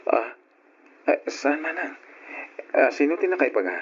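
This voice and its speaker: A man's voice: a little high, sing-song, a little slow. An actor with an Ilocano accent playing a devoted, polite young working man in love, in an old radio drama. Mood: confused, uneasy. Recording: muffled, noisy old radio drama recording.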